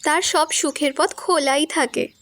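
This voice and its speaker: An actress playing a dreamy, lovesick young bride who speaks dramatically about love, in an audio drama. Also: female voice, high voice, unhurried, fairly bright tone.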